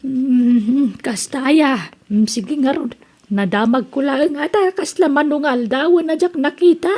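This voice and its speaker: A man's voice: very high voice, a little slow, darkish tone, pitched above the performer's own voice. A man voicing a nosy middle-aged woman neighbor who asks prying questions, heard from a distance in an audio drama. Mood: curious.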